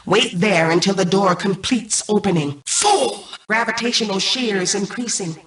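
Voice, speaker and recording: A woman playing a cold, imperious mainframe AI in a video game, menacing and calculating, with formal, commanding, measured robotic delivery. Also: mid-range voice, close to an everyday voice. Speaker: game antagonist turned ally. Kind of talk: scripted video game voice acting. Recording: distant, echoey processed game audio.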